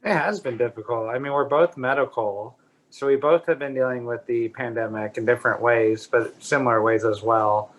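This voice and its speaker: A man's voice: mid-range voice, steady tone, moderate pace, low-quality recording. A man who is a photographer, chatting casually as a guest on a video call, laughing often as he talks. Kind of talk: casual interview chat.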